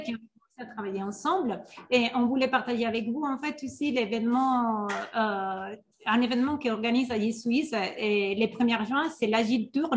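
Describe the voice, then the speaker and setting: A woman with a somewhat high voice leads an online workshop as an agile coach. She pauses often, fills gaps with hesitations and restarts her sentences. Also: slight background noise. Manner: hesitant, many fillers and restarts. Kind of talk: live online workshop presentation.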